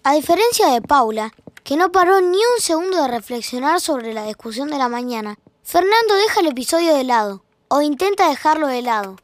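A woman's voice: high voice, big pitch swings, a little fast, dry recording. A woman with an Argentine accent reading scripted, wry narration for an audio fiction.

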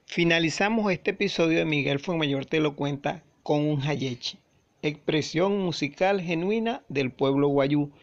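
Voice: mid-range voice, unhurried, dull audio. A radio host from Venezuela asks brief, pointed questions and signs off the episode formally. The voice sits in an ordinary middle range. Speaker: radio show host and interviewer. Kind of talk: interviewing, closing a radio episode.

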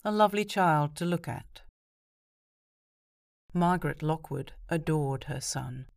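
A woman narrating a horror story as an audiobook reader, measured and expressive. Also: sits low, gravelly, no echo, studio quality.

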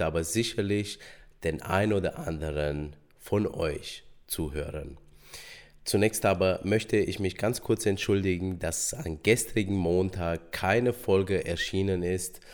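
A man hosting a solo podcast, hoarse from a cold, talking casually with many hesitant filler sounds. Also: sits low, slow, darkish tone. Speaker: podcast host.

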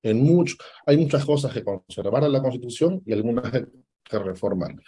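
Dark, husky-voiced man. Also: mid-range voice, animated. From Peru. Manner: deliberate, stops to search for words. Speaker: political analyst guest. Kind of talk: interview answers.

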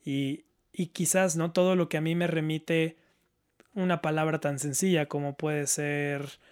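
A man's voice: fairly high pitch, fairly even intonation, measured pace, darkish tone, clean tone. A man chatting casually and reflectively, repeating words as he searches for the right phrasing. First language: Spanish. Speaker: panelist, learner of Japanese.

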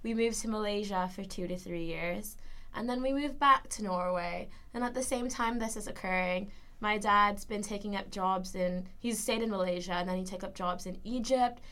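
Lively young woman with an American accent. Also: medium pitch. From Philippines. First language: Tagalog. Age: young adult. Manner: lively, laughs often, quick playful quips. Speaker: podcast guest. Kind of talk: casual chat.

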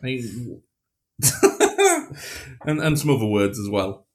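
Male co-host, thirties, deadpan wit, casual remote chat. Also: mid-range voice, slow pace. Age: 30s. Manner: dry, deadpan humour. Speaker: podcast co-host. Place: home, recording remotely.

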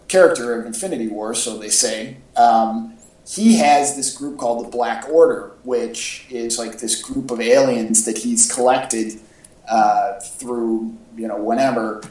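A man's voice: mid-range voice, measured pace, darkish tone, far from the mic. Enthusiastic man chatting, off-mic. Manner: enthusiastic, rambling. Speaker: podcast co-host. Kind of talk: casual fan chat about superhero movies. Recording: echoey, off-mic home podcast audio.

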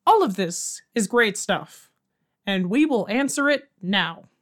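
A woman's voice: mid-range voice, expressive, slow pace. A woman playing a flustered, placating night radio host in an audio comedy, chatty and rambling, often interrupting herself. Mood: forced cheer. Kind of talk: audio comedy drama in radio show format.

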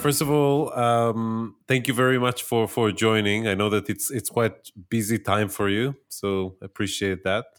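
Podcast host guy, lowish voice, lots of uhs, faint music underneath. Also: fairly even intonation, unhurried, hardly any pauses. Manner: hesitant, polite, many fillers. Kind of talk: podcast interview.

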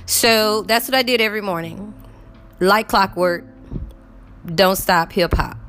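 A woman's voice: mid-range voice, unhurried, fairly bright tone. A woman in her fifties chatting casually to her viewers, rambling with lots of fillers while getting over a cough. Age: 50s. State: recovering from illness, cough. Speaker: vlogger.

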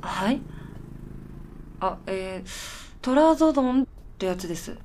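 Woman playing a tired polite lady in a radio drama, dry and matter-of-fact, medium pitch. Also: lively intonation, a little slow, darkish tone, slight background noise, close to an everyday voice. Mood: puzzled, timid. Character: polite, puzzled, tired young woman with quietly blunt streak. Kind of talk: Japanese radio drama dialogue.

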